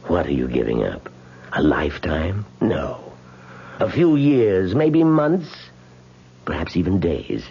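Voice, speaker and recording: An actor playing a frail, rich old man in a radio drama, slow, deliberate and insistent in his persuasion. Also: deep voice, big pitch swings, heavily acted. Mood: insistent, persuasive. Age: older. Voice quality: husky, gravelly. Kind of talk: 1970s radio drama acting. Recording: dull old radio recording.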